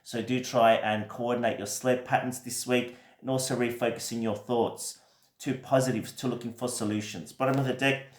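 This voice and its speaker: A man with a croaky voice from a recent cold, giving a gentle, reassuring weekly tarot reading with many fillers. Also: medium pitch, fairly even intonation, normal speed, roomy sound. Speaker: tarot reader.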